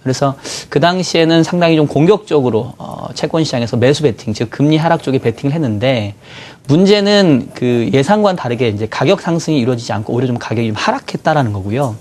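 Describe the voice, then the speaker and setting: A man with a cold-roughened voice, a bond strategy guest in a TV studio, explaining market moves in a measured way with many fillers. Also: mid-range voice, lively intonation, a little mellow. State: hoarse from a cold. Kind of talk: financial market analysis.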